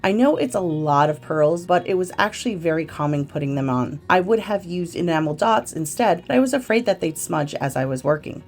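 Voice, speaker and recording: A woman with a slightly congested voice from a cold, narrating a craft tutorial in a gentle, friendly, step-by-step way. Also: low-pitched. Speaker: craft video host. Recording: faint music behind the voice.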